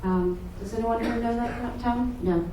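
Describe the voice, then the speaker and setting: A woman from Sweden, chatting with her audience between songs as a singer-songwriter, friendly and quick to laugh. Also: a little high, mellow, slight background noise. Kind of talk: live stage banter between songs. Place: live music venue, audience present. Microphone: single room mic in the crowd far from stage. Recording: distant, echoey live room mic. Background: guitar and live room sound.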